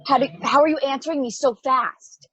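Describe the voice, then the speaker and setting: A woman playing a newly awakened, anxious space probe in an amateur table read, halting, stammering and apologetic. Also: high voice, fairly bright tone, dry recording, lo-fi sound. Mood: bewildered, anxious.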